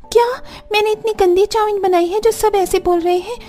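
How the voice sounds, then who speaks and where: Woman voicing a high-pitched jealous young daughter-in-law. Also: fairly even intonation, fairly quick, warm tone. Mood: hurt, indignant. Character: jealous, stubborn young elder daughter-in-law, boastful and easily flustered. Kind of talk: Hindi cartoon story dialogue.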